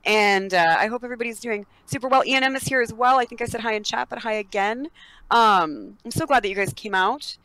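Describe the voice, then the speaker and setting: A woman's voice: a little high, a little fast, fairly bright tone, no echo, low-quality recording. A young woman from Kentucky hosting a casual podcast chat, bubbly and giggly, greeting viewers by name. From Kentucky, USA.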